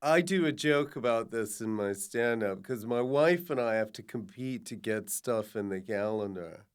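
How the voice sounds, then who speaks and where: A middle-aged man hosting a podcast, chatting casually and dropping deadpan jokes. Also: fairly low pitch, clean tone. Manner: quick deadpan jokes and interjections. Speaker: podcast host.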